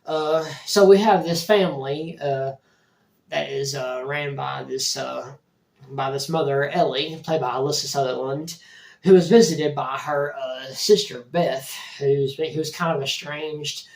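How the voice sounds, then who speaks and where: Hoarse man reviewing a movie. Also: a little high, fairly even intonation, unhurried, darkish tone. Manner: rambling, many restarts. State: hoarse from a cold. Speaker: movie reviewer, channel host. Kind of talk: casual video review. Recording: roomy echo, distant mic.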